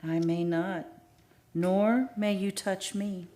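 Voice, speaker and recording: Actress as female sea spirit, very slow, solemn, loving, archaic romantic phrasing, radio drama. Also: medium pitch, fairly even intonation, mellow, natural voice. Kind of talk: radio play acting.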